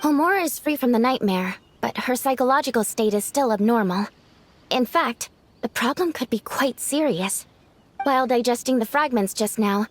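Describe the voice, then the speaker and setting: A woman voicing a composed, analytical young female therapist in a video game, measured and explanatory. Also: a little high, lightly acted. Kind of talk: animated video game dialogue.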